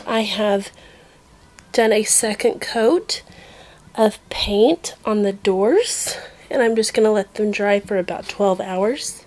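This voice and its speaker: A woman's voice: medium pitch, darkish tone, a little hiss or hum. A young woman narrating a casual home vlog, chatting as she shows what she is working on around the house. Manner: casual, chatty, often drops to a late-night whisper. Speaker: home vlogger. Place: home, indoors, at night. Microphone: handheld phone camera.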